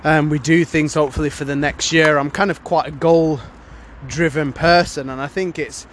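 A man's voice: fairly high pitch, steady tone, normal speed, a little hiss or hum. A man hosting a casual solo podcast, sounding congested from a cold and rambling with many fillers and restarts. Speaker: podcast host.

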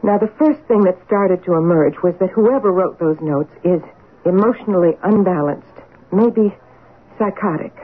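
An actress playing an earnest, insistent amateur handwriting sleuth in a radio mystery drama, with a husky voice and deliberate delivery. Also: female voice, on the low side. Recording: tinny old radio broadcast.

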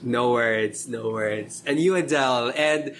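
A man's voice: mid-range voice, takes it slowly, a bit bright, slight background noise. A young man hosting a casual podcast, introducing the show and topics in an upbeat, lightly scripted way. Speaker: podcast host. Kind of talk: casual chat.

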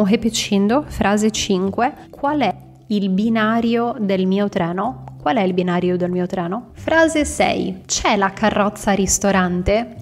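A young woman from northern Italy, teaching phrases and repeating each one slowly and clearly for practice. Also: mid-range voice. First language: Italian. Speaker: Italian teacher. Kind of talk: language lesson for Portuguese speakers.

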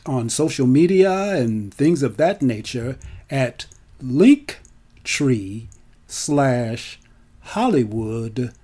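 Man in his seventies, mellow tone, swooping emphatic delivery, theatrical storyteller, careful enunciation. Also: mid-range voice. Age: 70s. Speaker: featured storyteller and actor. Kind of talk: autobiographical storytelling to an audience on mic.

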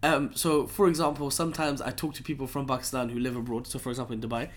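A man's voice: medium pitch, fast. A young man from London, a guest telling stories in a casual chat, full of slang and "right?" tags. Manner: animated storyteller, lots of slang and "right?" tags.

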